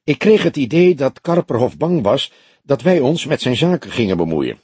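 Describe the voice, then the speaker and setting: A man's voice: medium pitch, very animated, moderate pace, a little mellow, slightly rough, dry recording. A man voicing an earnest young male detective, a questioning and slightly wry junior partner in an audiobook. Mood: apologetic.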